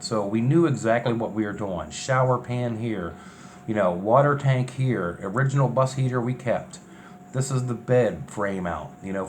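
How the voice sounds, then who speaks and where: A man giving casual, unscripted narration over DIY build footage in a folksy, colloquial way. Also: on the low side. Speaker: vlogger recapping a DIY bus conversion. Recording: clear steady background noise, ambience.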